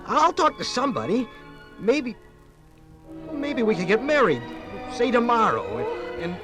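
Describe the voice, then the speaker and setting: An actor playing an awkward, lovestruck young American soldier in a 1950s radio drama, halting and stammering earnestly. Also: male voice, very high voice, sing-song, music in the background, full character voice. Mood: eager, hopeful. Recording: 1950s radio production from vinyl record.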